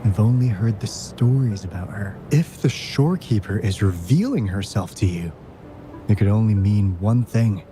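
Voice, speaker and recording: A man's voice: lowish voice, expressive, normal speed, warm tone, slight background noise, lightly acted. An actor playing a friendly, loyal male comrade in a video game, with a casual, earnest, encouraging way of talking. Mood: awed, earnest. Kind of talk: scripted video game voice acting.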